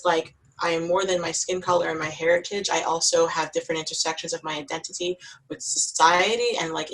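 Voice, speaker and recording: A woman's voice: fairly low pitch, poor audio. A woman moderating a filmmaker Q&A as festival programmer, chatty and appreciative, with many fillers. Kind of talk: moderating a recorded Q&A.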